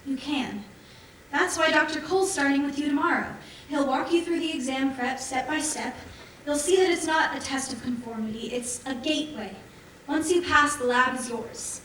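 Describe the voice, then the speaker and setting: A woman's voice: high-pitched, moderate pace, a bit bright, distant mic. A woman on stage playing an eager, persuasive daughter in a sci-fi colony, speaking in a coaxing, assured way. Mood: smooth persuasion. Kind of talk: staged musical reading, stage acting. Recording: echoey theatre from afar, faint noise.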